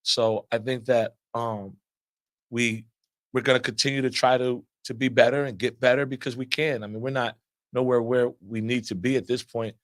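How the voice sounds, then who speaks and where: A man's voice: mid-range voice, normal speed, no echo. Earnest man coach with frequent restarts. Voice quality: loud voice. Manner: repeats words often while building answers, steady and earnest. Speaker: assistant basketball coach. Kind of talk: press conference answers.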